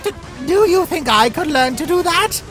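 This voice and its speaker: A dramatic, earnest young skeleton whose pitch swings widely. His wording is formal and theatrical, in cartoon comic dub style over soft music. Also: animated, moderate pace. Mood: amazed. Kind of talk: animated comic dub acting.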